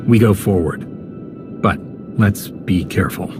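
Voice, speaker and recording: A cautious, resolute knight in a video game. The voice is lowish, measured and firm. Music plays clearly behind it. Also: male voice. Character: resolute, cautious male Jedi knight, calm hero. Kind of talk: scripted video game acting.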